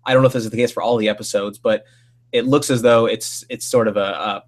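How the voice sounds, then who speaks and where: A man's voice: fairly low pitch, fairly even intonation, fast talker. A man who is guest host on a book podcast, chatting casually and rambling with many restarts.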